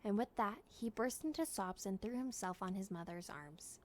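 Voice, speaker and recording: A woman reading a story aloud in expressive narration, with a gentle, deliberate storytelling manner. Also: mid-range voice, slight background noise. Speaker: storybook reader.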